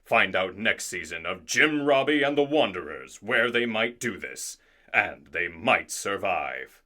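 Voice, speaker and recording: A man's voice: on the low side, animated, unhurried, sharp tone, heavily acted. A man playing a theatrical, put-upon narrator in a scripted radio drama. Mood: mock suspense.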